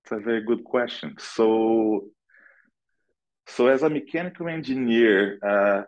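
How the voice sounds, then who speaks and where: The deep-voiced Brazilian man you'd hear as a remote guest on an industry podcast, picking his words haltingly over a slightly dull connection. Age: middle-aged. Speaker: podcast guest, analytics director. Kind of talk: conversational interview answers.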